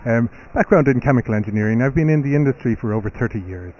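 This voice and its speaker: A man's voice: medium pitch, expressive, fast talker, lo-fi sound, a loud crowd. A middle-aged Irish man talking as a guest on a live radio interview, often restarting words and using "you know". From Ireland. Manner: repeats words, many "you know" fillers. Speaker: company CEO guest.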